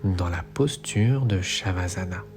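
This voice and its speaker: A man with a low, mellow voice guides a relaxation meditation. He speaks very slowly, in soothing, measured instructions. Soft music plays faintly behind him.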